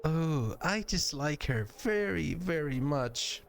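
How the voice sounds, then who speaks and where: Man voicing a high-pitched, timid, sweet young woman. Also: expressive, takes it slowly, vocal fry, a little hiss or hum, full character voice. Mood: reluctant, flustered. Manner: hesitant, gushing, exaggerated when scolding.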